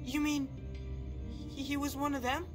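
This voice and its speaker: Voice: high voice, flat delivery, measured pace, over music. A performer playing a stubborn, defensive young boy in a video game drama, argumentative with hesitant stammers. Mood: confused, worried.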